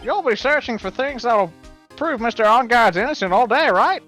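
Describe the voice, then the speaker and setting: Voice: mid-range voice, lively intonation, moderate pace, a bit bright, faint music behind, lightly acted. A performer voicing a goofy, broke male detective in a fan game dub, hearty and chummy. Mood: sheepish.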